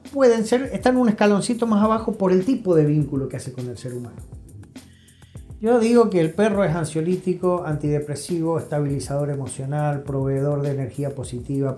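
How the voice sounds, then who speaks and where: An Argentine man speaks as an interview guest with a soft, mellow tone. He explains and advises in an emphatic, conversational way, repeating phrases to drive points home. The room is audibly echoey, with music playing behind him. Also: a little high, normal speed. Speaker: interview guest, health professional. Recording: roomy echo, music behind.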